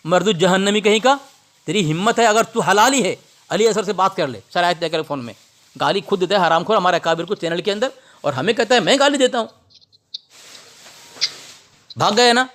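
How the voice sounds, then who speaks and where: A man's voice: high voice, lively intonation, fairly quick, long pauses, a bit bright. A man arguing religion on a phone call in a combative, abusive, lecturing way, repeating his phrases for emphasis. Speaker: religious debater. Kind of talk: polemical religious argument over a phone call.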